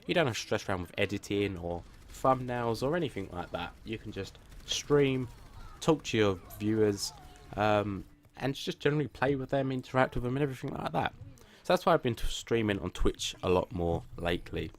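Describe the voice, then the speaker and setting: Casual gaming-channel host, male, lowish flat voice, hesitant and self-deprecating, rambling delivery. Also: a little fast. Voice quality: flat, plain voice. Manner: rambling and hesitant, many restarts. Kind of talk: casual channel update to viewers.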